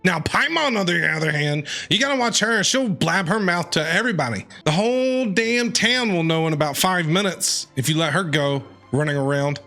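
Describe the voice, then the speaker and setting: A man streaming a story game, reacting in an excitable, animated way. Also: sits high, moderate pace, few pauses, faint music behind.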